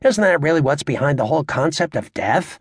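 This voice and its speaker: A man playing a brash, crude stand-up comedian working a club crowd in an audiobook, with a raspy voice and a cocky, sarcastic, showy, needling delivery. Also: on the high side, animated, a little fast, dry recording, studio quality.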